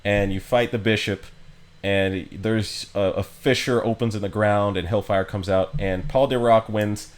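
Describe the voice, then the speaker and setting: A man's voice: fairly low pitch, fairly even intonation, unhurried. A man streaming a game with casual, informal commentary and wry asides.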